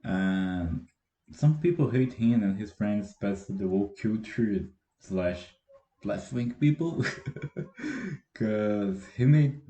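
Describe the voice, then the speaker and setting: An eighteen-year-old Brazilian man with a Brazilian Portuguese accent and a very dark, mellow voice that sits a little low. He speaks English as a second language, halting and self-deprecating, with frequent restarts. His voice echoes around a bare room. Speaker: podcast host. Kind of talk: casual solo podcast monologue. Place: room at home, dogs barking outside.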